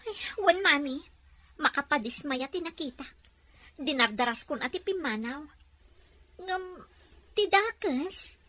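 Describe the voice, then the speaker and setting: A woman's voice: high-pitched, expressive, measured pace, long pauses, bright, forceful tone, exaggerated voice. A woman playing a sulky, whiny young daughter in a radio serial. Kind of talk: Philippine radio serial acting.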